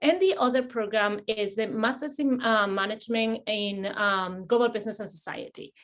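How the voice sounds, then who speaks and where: A woman's voice: mid-range voice, normal speed, a bit bright. A middle-aged woman from Spain with a Spanish accent, hosting an admissions webinar in a friendly way, with many fillers and restarts. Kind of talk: webinar presentation.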